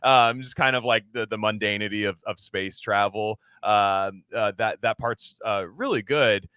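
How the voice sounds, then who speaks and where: A man co-hosting a podcast, talking casually about film in a halting, rambling way and often slipping into imagined dialogue. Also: on the low side, bright, forceful tone, clear, resonant tone, no echo, clean studio sound. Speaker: podcast co-host.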